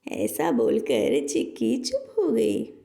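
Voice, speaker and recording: A woman's voice: mid-range voice, wildly swooping pitch, takes it slowly, creaky, dark tone, reverberant. A woman narrating a scripted children's story as a storyteller.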